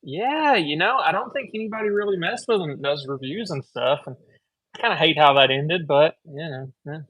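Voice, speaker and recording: A man with a thick Tennessee accent, an optician chatting casually about eyewear, laid-back and quick to laugh. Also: a little high, animated, fairly bright tone, no room sound, rough recording. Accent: Southern US, Tennessee. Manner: laid-back, laughs often, frank opinions. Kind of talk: casual chat.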